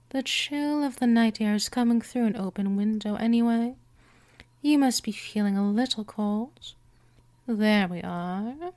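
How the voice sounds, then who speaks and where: A woman playing a gentle but dominant vampire mistress in a scripted hypnosis ASMR roleplay, soothing and teasing, often slipping into whispers. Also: on the high side.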